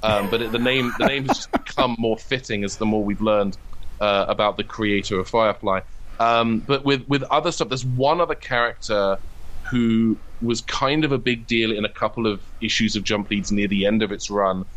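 A man's voice: on the low side, normal speed, a bit bright, low-quality recording. A man talking casually as an interview guest about his own creative work, chatty and wry, wandering into asides. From from abroad, immigrated in 2007. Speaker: interviewed comedy writer and audio drama creator.

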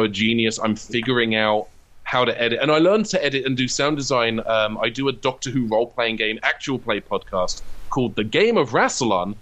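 Male interview guest, medium pitch, casual, enthusiastic, discursive. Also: a bit bright. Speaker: interview guest, comedy writer and audio drama producer.

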